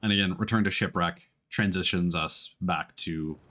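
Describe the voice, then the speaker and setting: A man giving casual let's-play commentary as he streams a game, thinking aloud in a halting way. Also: low-pitched, pushed and bright. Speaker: streamer playing a video game.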